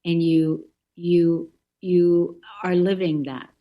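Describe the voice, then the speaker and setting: A woman hosting a talk radio show, speaking warmly and affirming her guest by repeating phrases. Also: lowish voice, flat delivery, slow pace. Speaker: host. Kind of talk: encouraging talk show chat. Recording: dull lo-fi sound.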